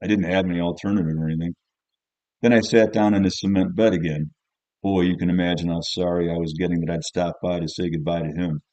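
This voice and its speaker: A man's voice: low-pitched, fairly even intonation, quick pace, a little mellow, dry recording, dull audio, natural voice. A man reading as a jaded, cynical teenage schoolboy, narrating an audiobook in the first person in a colloquial, grumbling, offhand way.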